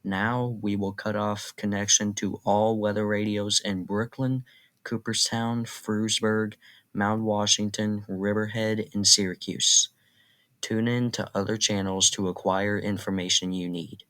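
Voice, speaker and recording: A man's voice: fairly low pitch, a little slow. A synthetic-sounding man reading an automated weather radio bulletin in an impersonal, mechanical way. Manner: flat, impersonal, synthetic delivery.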